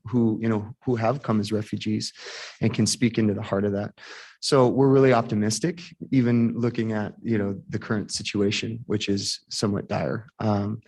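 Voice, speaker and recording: A man's voice: lowish voice, a little mellow. A man giving an earnest presentation to a city council, stringing together long lists of phrases. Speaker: housing task force speaker.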